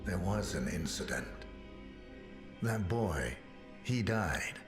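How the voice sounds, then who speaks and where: A man's voice: low voice, sing-song, slow pace, heavily acted. A man playing a cold, stoic assassin who speaks in a terse, controlled way in a game cutscene. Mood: cold, guarded. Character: cold, stoic male assassin haunted by his past. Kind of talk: cinematic video game acting. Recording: music behind the voice.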